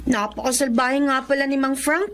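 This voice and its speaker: A woman's voice: high-pitched, steady tone, normal speed, a bit bright, a little hiss or hum. A woman playing a young orphaned girl in a Filipino melodrama, earnest and plaintive, polite but firm. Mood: resigned. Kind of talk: Filipino melodrama audio acting.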